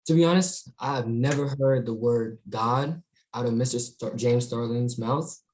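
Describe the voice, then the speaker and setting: Mid-pitched voice, earnest assertive teenage student, played by a man, drama table read. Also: unhurried, a little mellow, a little hiss or hum, close to an everyday voice. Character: earnest, assertive high school student on a panel. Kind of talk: virtual table read of a screenplay.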